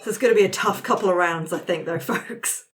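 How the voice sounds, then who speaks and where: A woman's voice: lowish voice, a little fast. A woman facilitating a tabletop roleplaying game in a casual, conversational narrating style.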